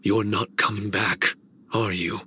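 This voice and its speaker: An actor playing a solemn, wistful male shikigami servant in a game cutscene, speaking in a slow, measured, reflective way. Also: on the low side, flat delivery, bright, forceful tone, a little hiss or hum.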